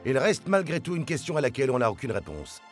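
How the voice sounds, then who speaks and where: A man's voice: medium pitch, sing-song, fast, soft background music, close to an everyday voice. A man voicing a stern but fair football coach in a cartoon, blunt and emphatic. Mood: serious.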